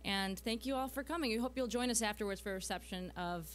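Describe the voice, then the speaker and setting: A woman's voice: mid-range voice, quick pace, soft background music. A woman hosting a live event, giving a welcoming address to an audience. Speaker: event host. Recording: clear background noise, hum.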